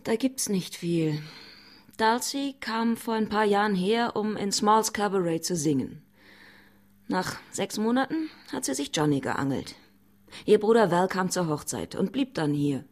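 A woman's voice: mid-range voice, expressive, a little slow, a little mellow. An actress playing an evasive woman being questioned by police in a radio crime drama. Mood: guarded. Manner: curt, evasive, dry answers. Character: guarded, cool woman, a murder victim's acquaintance.

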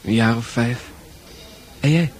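An actor in a Dutch radio play playing a shy, concerned young man who speaks haltingly and awkwardly. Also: medium pitch, a little hiss or hum, lightly acted. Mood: reserved. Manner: hesitant, halting, soft-hearted.

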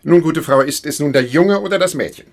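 An actor playing a dutiful, blunt medieval bishop's messenger in a German radio play, gruff, formal and insistent. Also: male voice, a little high, a little fast, lightly acted. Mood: doubtful, insistent.

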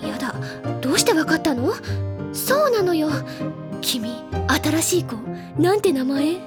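A woman voicing a bubbly, earnest teenage schoolgirl who tells stories in an expressive, chatty audio drama style. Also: sits high, unhurried. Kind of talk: Japanese audio drama acting. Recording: background music under the voice.

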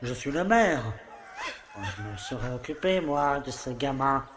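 A man's voice: a little high, wildly swooping pitch, a little slow, a bit bright, heavily acted. A man playing a self-satisfied older village mayor in an audio drama, with a pompous, paternal way of talking. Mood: condescending reassurance. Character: smug, falsely kindly older village mayor. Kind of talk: theatrical monologue in an audio play. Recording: echoey room, faint background noise.

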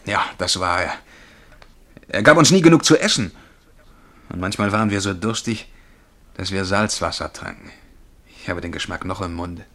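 An actor playing a haunted young man who recounts a dream of being a galley slave, telling it intensely and haltingly in a German radio play. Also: deep voice, bright, forceful tone. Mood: bitter, brooding. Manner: intense, vivid, halting at times. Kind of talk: German radio play acting, dramatic storytelling.

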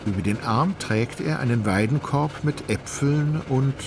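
An actor narrating a literary radio play as an ironic, all-knowing storyteller, measured and ornate in his delivery. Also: mid-range voice, background noise, natural voice. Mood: wry, measured. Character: wry, omniscient, ironic male storyteller. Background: music.

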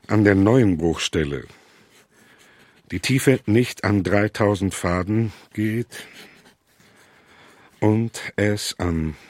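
A man playing a worried, stern businessman reading out his letters in a measured, formal way. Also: on the low side, darkish tone, dry recording.

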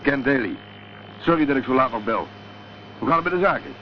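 An actor playing a laconic, wary private detective in a radio drama, speaking in a terse, matter-of-fact way. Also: male voice, medium pitch, expressive, a little fast, close to an everyday voice. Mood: businesslike. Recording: dull, faintly noisy old radio play recording.

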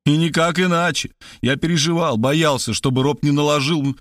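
A man's voice: a little high, steady tone, normal speed, a bit bright, dry recording, studio quality, voice pushed up, close to an everyday voice. Man acting a blunt, protective male buddy. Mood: booming defence. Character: blunt, hearty male friend, protective and loud.

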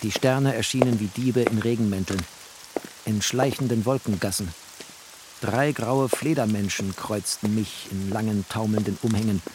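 Low-pitched man narrating literature expressively. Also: moderate pace, noisy background.

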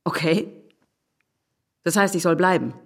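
Low-voiced sardonic woman, played by an actress. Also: measured pace. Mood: brisk, wry. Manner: wry, teasing, theatrical. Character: sardonic married city woman, curious and quick-witted. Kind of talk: audio drama acting.

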